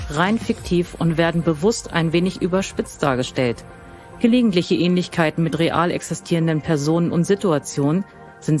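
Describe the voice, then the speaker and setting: A man's voice: on the high side, a little mellow. A man delivering a scripted promotional outro as a company director, formal and polished. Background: music.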